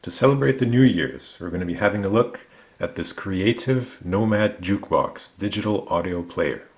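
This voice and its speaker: A man narrating a product review, explaining things methodically in short, measured phrases. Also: deep voice, lively intonation. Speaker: gadget reviewer.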